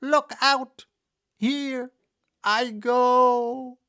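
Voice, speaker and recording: A man voicing a wicked old witch woman with a shrill, wailing screech. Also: fairly even intonation, extremely slow, sharp tone, clean tone, exaggerated voice.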